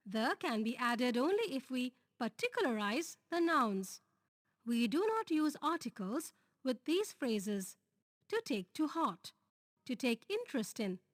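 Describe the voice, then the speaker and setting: A woman with an Indian English accent reading a grammar lesson, going slowly through lists and example sentences. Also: high voice, no echo, clean studio sound. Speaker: teacher.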